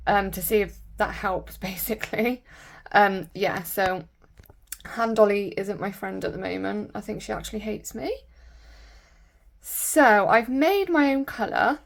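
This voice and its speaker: A woman with a croaky voice from a sore throat, chatting casually and rambling with lots of fillers while doing her nails. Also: medium pitch. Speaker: nail vlogger.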